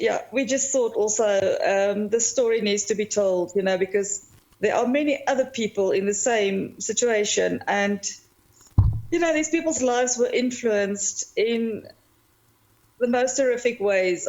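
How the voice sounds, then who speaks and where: A woman's voice: mid-range voice, a little slow, a little mellow, muffled recording. A woman from South Africa with a South African accent, speaking earnestly and a little hesitantly as a guest co-host. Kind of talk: remote podcast interview.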